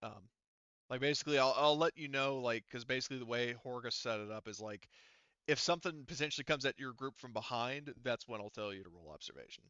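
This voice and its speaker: Crisp-voiced man running a tabletop game. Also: medium pitch, quick pace, bright, forceful tone, no room sound, highs cut off. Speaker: game master. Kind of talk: casual narration of an online tabletop game.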